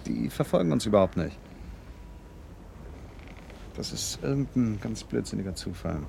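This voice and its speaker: A grumpy man with a lowish voice in a radio play. He is evasive and dismissive, fending off his friend in curt, grumbling phrases. Slight ambient noise lies behind the voice. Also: lively intonation, normal speed, creaky, mellow. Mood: irritated. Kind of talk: German radio drama acting.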